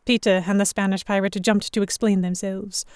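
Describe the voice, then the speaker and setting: Female narrator for a historical audio drama, medium pitch, scripted first-person delivery, dry sound. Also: level tone, moderate pace, darkish tone, clear, resonant tone, dry recording. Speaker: narrator and lead actor.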